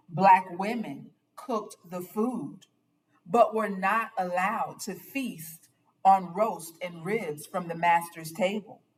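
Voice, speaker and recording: A woman reading a children's picture book aloud with expressive, dramatic and deliberate phrasing. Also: on the low side, recorded from a distance, poor audio.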